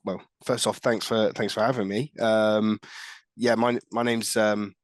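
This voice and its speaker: A man's voice: fairly low pitch, flat delivery, a little slow, no echo. A middle-aged man with a stuffy nose from a cold, giving a presentation on a video call, hesitant with many fillers and restarts. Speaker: guest speaker, tech lead.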